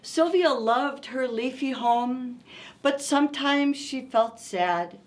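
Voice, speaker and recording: A woman hosting a storytime, reading a picture book aloud to young children in an expressive, coaxing way and asking them questions. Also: high voice, takes it slowly, slight background noise.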